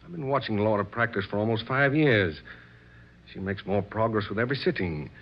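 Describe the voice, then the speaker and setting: An actor playing an excitable, courteous gentleman who is devoted to séances, in a theatrical old radio drama style with effusive, old-fashioned clubman's speech. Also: fairly low pitch, fairly quick. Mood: enthusiastic. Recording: dull old radio recording.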